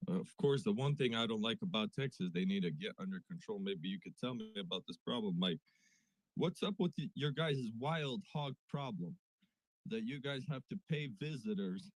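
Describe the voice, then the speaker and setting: A man with a Russian accent, from Siberia, chats playfully and swears now and then. His voice sits at an ordinary pitch. The sound is dry and close. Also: expressive, moderate pace, no room sound, low-quality recording. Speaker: guest caller. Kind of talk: casual chat on a live audio space.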